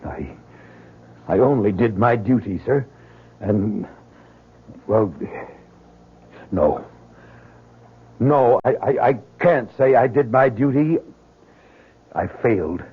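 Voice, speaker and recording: An actor playing a fervent, haunted Southern soldier in an old-time radio drama, speaking in a halting, earnest way with a husky voice. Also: male voice, medium pitch, lively intonation, full character voice. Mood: ashamed, troubled. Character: fervent Southern soldier, haunted man sure of his miracle. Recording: dull lo-fi old radio recording.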